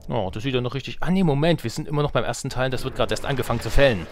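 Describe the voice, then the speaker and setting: A man's voice: medium pitch, rapid-fire. A young adult man doing casual let's play commentary, chatty and thinking aloud as he goes. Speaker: let's player. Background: game music.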